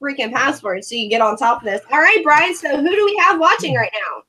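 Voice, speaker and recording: Woman, from korea, chatty sarcastic livestream host, high bright voice, video call. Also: animated, fast talker, poor audio. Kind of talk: casual livestream movie review chat.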